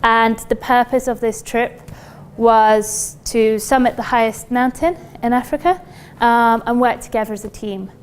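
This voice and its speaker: A woman's voice: fairly high pitch, measured pace, a little hiss or hum. A woman with a British accent giving an informal, chatty workshop presentation to an audience as a company recruiter. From United Kingdom. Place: lecture room at a university.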